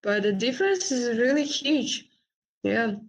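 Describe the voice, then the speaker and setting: Young woman, higher voice. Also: a little slow. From Uzbekistan. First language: Uzbek. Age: young adult. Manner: relaxed, frequent "like" and "actually". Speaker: language exchange partner. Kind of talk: casual video chat.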